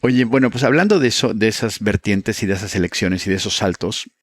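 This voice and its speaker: A man's voice: fairly low pitch, no echo. A man hosting a podcast, asking questions in a friendly, playful way. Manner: friendly, playful asides and quick acknowledgements. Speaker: podcast host. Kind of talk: casual interview questions.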